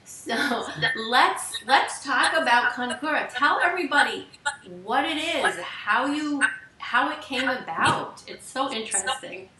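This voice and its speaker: A woman's voice: sits high, expressive, measured pace, sharp tone, roomy sound, distant mic, a little hiss or hum, low-quality recording. A woman hosting a fitness show, bubbly and gushing as she introduces and questions her guest. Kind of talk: enthusiastic podcast hosting.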